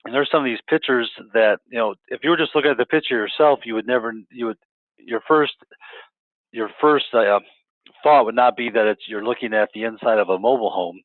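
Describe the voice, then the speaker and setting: A man's voice: medium pitch, a little fast, dry recording. A man interviewing a guest, stumbling and repeating himself often as he talks. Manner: stumbling, many restarts and repeats. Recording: tinny dry phone-like line.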